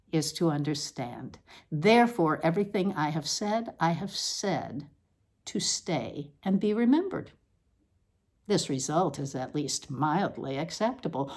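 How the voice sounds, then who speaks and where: Female literary reader, lower register, deliberate and declamatory, scripted dramatic delivery with emphatic repetition. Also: darkish tone.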